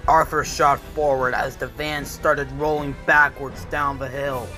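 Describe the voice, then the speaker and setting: Storybook narrator for a comic dub, middle pitch, clear music playing behind. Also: little pitch movement, measured pace, pushed and bright.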